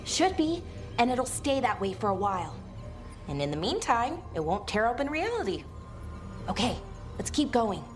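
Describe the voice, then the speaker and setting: An actress voicing an earnest, gentle young female artifact expert in a video game, speaking conversationally with slight hesitancy. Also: fairly high pitch, big pitch swings, moderate pace, soft background music. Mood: focused, earnest.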